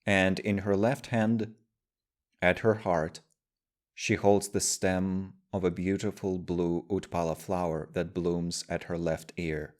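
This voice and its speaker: A man guiding a meditation as a teacher, speaking in measured, deliberate phrases with pauses. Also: sits low, flat delivery. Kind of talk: guided meditation, slow spoken instruction.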